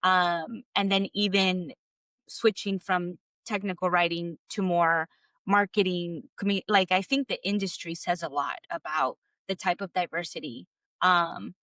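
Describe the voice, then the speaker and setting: A woman from the United States who grew up speaking Spanish, a guest telling her career story in a relaxed interview, full of fillers and restarts. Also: fairly low pitch, normal speed, no room sound. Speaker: guest, clarity coach. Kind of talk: conversational interview.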